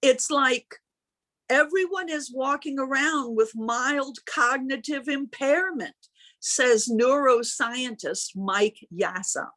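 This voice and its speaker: A woman minister delivers a sermon in a high-pitched voice. Her pace is slow and deliberate, with emphatic phrasing and pauses between thoughts. She is speaking to her congregation over a video call. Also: a bit bright. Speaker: minister preaching.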